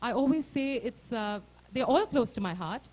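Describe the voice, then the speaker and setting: A woman's voice: on the high side, animated, normal speed, darkish tone. A woman author giving a speech at her book launch, earnest and hesitant, with many fillers.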